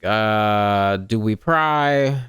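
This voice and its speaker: A gamer giving casual, irreverent playthrough commentary, with sweary deadpan asides and reading along in conversation. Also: medium pitch, extremely slow, smooth, no room sound. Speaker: gamer reacting to a story quest.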